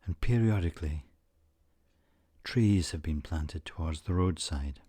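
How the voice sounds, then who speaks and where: A man with a low voice leads a guided meditation at a very slow pace. His delivery is soothing and measured, broken by frequent pauses. The sound is dry and close, with no room around it. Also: dark tone, breathy edge, no echo. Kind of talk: guided meditation narration, scripted.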